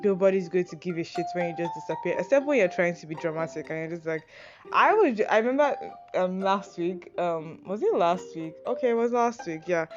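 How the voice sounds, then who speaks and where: A woman's voice: lowish voice, lively intonation, fairly quick. A young Nigerian woman with a Nigerian accent, rambling through an advice list in a self-deprecating, casual monologue full of fillers and swearing. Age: young adult. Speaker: podcast host. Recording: dull lo-fi sound with music behind.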